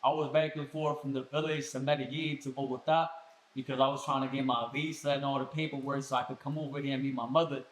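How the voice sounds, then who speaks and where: A man's voice: mid-range voice, quick pace. Laid-back young man, echoey room. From Colombia. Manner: laid-back street slang, relaxed storytelling. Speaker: guest musician. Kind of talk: casual podcast interview.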